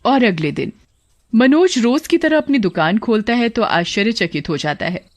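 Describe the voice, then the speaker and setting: A woman's voice: fairly high pitch, sing-song, normal speed, no room sound. A woman giving scripted narration for a cartoon story as the storyteller.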